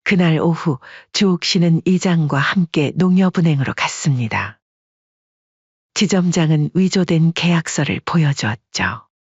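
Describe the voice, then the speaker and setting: A man narrating a story audiobook in a steady storytelling manner, on a dull, dry recording. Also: a little high, a little slow, husky, dry recording.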